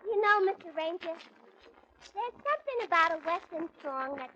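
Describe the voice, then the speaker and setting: A woman's voice: very high-pitched, animated, moderate pace, noisy background. A woman playing an eager young tavern gal in a 1940s radio comedy. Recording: muffled 1940s radio recording.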